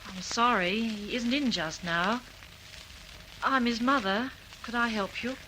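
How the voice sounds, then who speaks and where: A woman's voice: on the high side, unhurried, bright, forceful tone, a little hiss or hum, rough recording. A woman playing a polite, anxious mother of a grown son in a radio drama. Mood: polite, uneasy. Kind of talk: classic radio drama acting.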